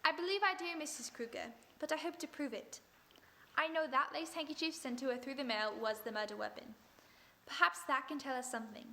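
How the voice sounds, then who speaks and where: Woman as a cool lady detective, high bright voice, measured and commanding, faint music. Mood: composed. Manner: measured and authoritative. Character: calm, commanding female detective. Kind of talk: 1940s radio acting.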